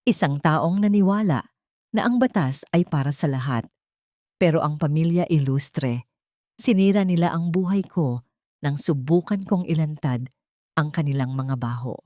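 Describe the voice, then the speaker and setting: A woman's voice: sits low, moderate pace, dark tone, no echo, clean studio sound, close to an everyday voice. A woman voicing a sickly, bitter and determined man in a romantic story drama.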